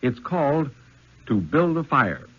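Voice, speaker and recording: An American man giving a solemn, deliberate dramatic reading of a story in the style of 1950s radio. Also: mid-range voice, husky, faint music behind. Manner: solemn, deliberate, expressive phrasing. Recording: muffled 1950s radio recording.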